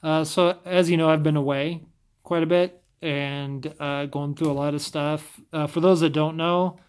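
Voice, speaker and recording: A man's voice: fairly high pitch, fairly even intonation, normal speed, clear, resonant tone. A man with a scratchy voice talking casually to camera, often stumbling and restarting his sentences. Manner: stumbles over words, rambling restarts.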